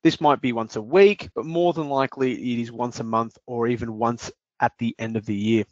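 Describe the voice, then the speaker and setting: A man presenting a product demo on a webinar, talking in a measured, explaining way with some fillers. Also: medium pitch, fairly even intonation, darkish tone, dry recording. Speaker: webinar presenter, business development manager. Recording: dry dull lo-fi audio.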